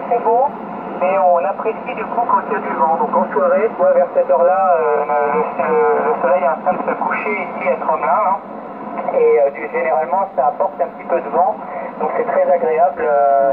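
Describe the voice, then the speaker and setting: A man's voice: sits high, brisk. A man on an amateur radio expedition, explaining life on a remote island in a casual, hesitant way with many fillers and restarted words. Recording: noisy, phone-like radio link.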